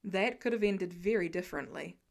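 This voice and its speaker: Woman acting middle-aged male lieutenant, lowered pitch, calm, measured, fatherly, polite, story narration. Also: gravelly. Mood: serious.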